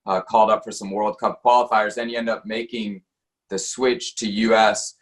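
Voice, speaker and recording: A man with a slightly low voice interviews a guest about sport. He sets up each question conversationally, as a reporter would. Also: flat delivery, normal speed.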